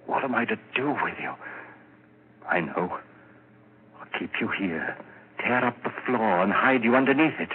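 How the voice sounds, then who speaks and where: An actor playing a guilt-ridden, panicky man hiding a murder in melodramatic, halting 1940s radio horror style. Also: lowish voice. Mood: anguished, self-justifying. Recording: scratchy, narrow-band old radio recording.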